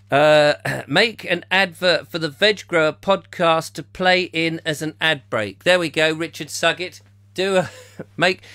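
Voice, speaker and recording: A man hosting a comic live chat show, playful and theatrical, slightly hoarse, slipping into silly voices. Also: a little high, bright, forceful tone, no room sound. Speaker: comedy chat show host.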